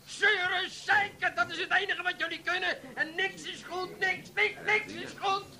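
A man's voice: extremely high pitch, steady tone, moderate pace, crowd noise. A man playing a stiffly formal, put-upon café keeper with a pointed, theatrical manner in a comic radio play. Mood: hysterical rage.

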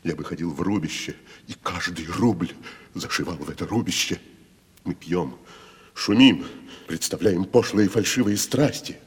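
An actor in a radio play voicing a grandiose, tormented middle-aged wandering drunkard, declamatory, with dramatic pauses and drops into whispers. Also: male voice, low voice, husky. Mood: bitter remorse. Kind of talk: theatrical Russian radio play acting. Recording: faint hiss of 1970s radio recording.

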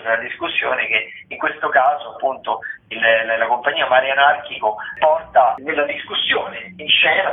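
A man, a theatre critic, calling in to a radio show and running loosely through the week's theatre listings with many fillers and self-corrections. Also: mid-range voice. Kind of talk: casual radio rundown of weekly theatre listings. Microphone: phone call into radio studio. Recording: tinny phone line with echo.

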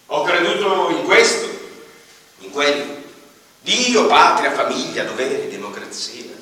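A male actor plays an embittered, rebellious old dead man telling his life in a theatrical radio drama monologue. The voice is fairly high and carries from a distance through a very reverberant hall. The delivery is emphatic and literary, with weighty pauses. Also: slight background noise, exaggerated voice. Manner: theatrical, emphatic, deliberate pauses, swinging from loud to hushed. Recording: very echoey hall, distant microphone. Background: faint violin music.

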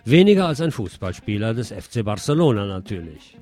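A man's voice: on the low side, big pitch swings, a little fast, a little mellow, soft background music. A man presenting radio news from a script, reading reports and adding wry asides.

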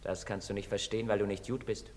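A man's voice: fairly low pitch, little pitch movement, fast talker, natural voice. An actor playing a defiant, wounded young man in a theatrical drama, declaiming with bitter resignation. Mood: defiant, resigned. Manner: declamatory, swinging from hushed to outcry. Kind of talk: theatrical radio drama acting. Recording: long hall reverb, some noise.

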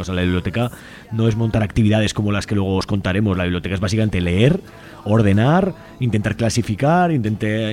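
Guy talking really fast, soft mellow tone, casual radio chat, super talkative. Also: on the low side. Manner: talkative, colloquial, seeks agreement with question tags. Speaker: community library volunteer, interview guest.

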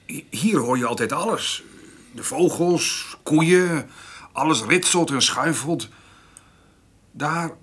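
An actor playing a troubled middle-aged farmer in a radio play, husky, with hesitant, plainspoken speech that often restarts. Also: male voice, a little high, animated, slow pace, long pauses, fairly bright tone, full character voice. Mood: guilty, brooding. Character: troubled farmer, rough-spoken, brooding over his mother.

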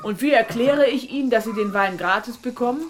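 An actress in a German radio play as a stingy, sharp-tongued middle-aged innkeeper, scolding and mocking with great emphasis. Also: female voice, fairly high pitch, brisk, a little hiss or hum, close to an everyday voice. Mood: incredulous.

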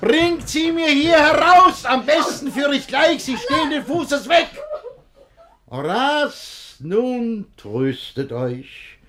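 An actor playing a scheming, smug middle-aged tyrant in a rhymed-verse radio play, declaiming emphatically. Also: male voice, very high voice, big pitch swings, slow pace, crowd noise, full character voice. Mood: gloating.

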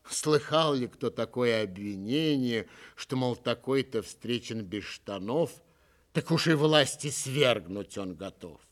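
Guy doing fussy indignant critic in an audiobook, lower and slow, grumbling objections. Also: lively intonation. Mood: disapproving. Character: skeptical, disapproving, self-righteous reader grumbling objections. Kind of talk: theatrical audiobook verse recitation.

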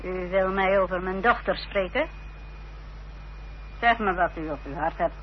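A woman's voice: on the low side, a little slow, lightly acted. An actress playing a stern, unforgiving old mother with a hard, challenging voice in a period radio drama. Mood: cold, defiant. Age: older. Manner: challenging, cold questioning. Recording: dull, noisy, humming old radio recording.

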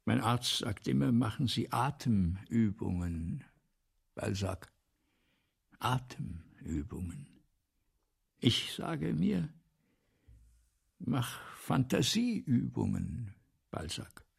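An actor in a German radio play playing an anguished, fearful French playwright, theatrical and husky, swinging from hushed weariness to declamation. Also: male voice, medium pitch, big pitch swings, extremely slow, long pauses, full character voice. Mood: exhausted, despairing. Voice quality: husky, hoarse, gravelly.

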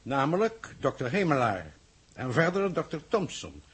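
Een acteur die in een hoorspel uit de jaren zestig een onverstoorbare, ontwijkende regeringsleider speelt, formeel, afgemeten en droog ironisch. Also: male voice, a little high, sing-song, fairly bright tone, slightly rough, heavily acted. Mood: suave, ironic. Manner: formal, measured, dryly ironic, condescending. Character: unruffled, evasive, dryly ironic male head of government. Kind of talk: 1960s Dutch radio drama acting.

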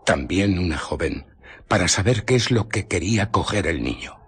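An actor playing a bitter, haunted scientist and fugitive, recounting his ordeal in a radio play with theatrical, deliberate pauses. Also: sits low, expressive, husky, exaggerated voice. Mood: tense recounting. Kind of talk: dramatic radio play narration.

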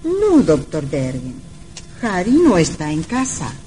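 An actress playing a solicitous, scheming elderly woman in an old radio drama, measured and coaxing. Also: sits low, huge pitch swings, heavy background noise, full character voice. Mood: politely deceptive. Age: older character.

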